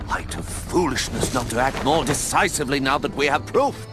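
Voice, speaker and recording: An actor voicing an older male owl mentor who narrates gravely and urges young owls on missions, with game music behind. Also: mid-range voice, animated, close to an everyday voice. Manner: measured, grave storytelling.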